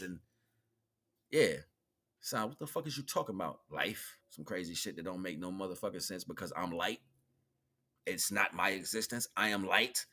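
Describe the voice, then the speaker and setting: A man's voice: fairly low pitch, bright, forceful tone. An American man giving a rambling, emphatic, freeform spiritual monologue in casual street slang, swearing freely. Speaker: livestream host, self-styled spiritual teacher.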